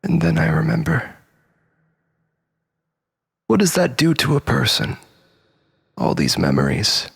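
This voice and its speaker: A man's voice: on the high side, huge pitch swings, unhurried, vocal fry, long pauses, fairly bright tone, breathy edge, full character voice. A man playing a mournful, haunted brother in grief, delivering a brooding, poetic scripted monologue. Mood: grieving, wistful.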